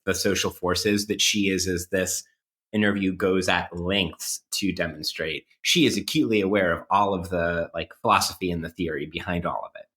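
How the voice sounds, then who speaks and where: A man co-hosting a podcast in a reflective conversational style, thoughtful and halting, circling his points with many qualifiers. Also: low voice, fairly even intonation, fairly bright tone. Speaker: podcast co-host.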